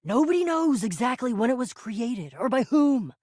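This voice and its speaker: A woman's voice: fairly high pitch, very animated, moderate pace, a little mellow, no echo, studio quality. An actress playing a scrappy, sarcastic young female adventurer in a video game, with a wisecracking, theatrical delivery. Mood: solemn, earnest. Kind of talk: video game character dialogue.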